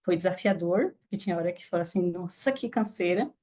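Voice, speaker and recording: A woman's voice: fairly low pitch, quick pace, mellow. A woman with a Brazilian Portuguese accent, a podcast guest speaking earnestly and reflectively, with hesitant restarts. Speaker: guest, affiliate marketer. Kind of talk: casual podcast interview.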